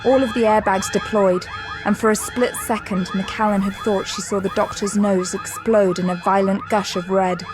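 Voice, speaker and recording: A woman's voice: mid-range voice, fairly even intonation, moderate pace, poor audio. A woman narrator reading scripted, dramatic third-person narration for an audio drama. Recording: prominent music bed under narration.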